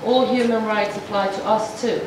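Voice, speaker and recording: A woman interprets a formal address into speech, measured and halting, often restarting her phrases. She sounds far from the microphone in a large, heavily echoing hall. Steady noise sits under her voice. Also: mid-range voice. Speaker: sign language interpreter voicing a speech. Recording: echoey hall from far off, noisy.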